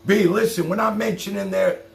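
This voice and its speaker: A man's voice: sits high, fairly even intonation, a little fast, creaky. A man chatting casually as a live stream host, talking in a streetwise, direct way and taking calls. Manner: colloquial, streetwise, direct address. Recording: roomy, noisy live stream.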